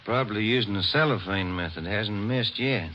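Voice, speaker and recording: A man's voice: lowish voice, moderate pace, bright, forceful tone. A man playing a casual, laconic police detective partner in an old radio drama. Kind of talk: 1950s radio drama acting. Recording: dull, very noisy old radio transcription.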